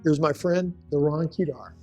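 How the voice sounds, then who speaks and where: High-voiced enthusiastic male talk-show host. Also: moderate pace, darkish tone, faint music behind. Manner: enthusiastic, emphatic. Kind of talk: faith talk show hosting.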